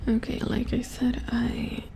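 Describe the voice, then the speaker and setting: A woman playing a weary, puzzled robot repair engineer in a soft-spoken ASMR horror roleplay, murmuring hesitantly in a hushed, breathy voice close to the mic. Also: a little high, completely flat delivery, unhurried. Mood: uneasy, focused. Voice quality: breathy whisper with fry. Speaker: ASMR performer. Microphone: close ASMR microphone.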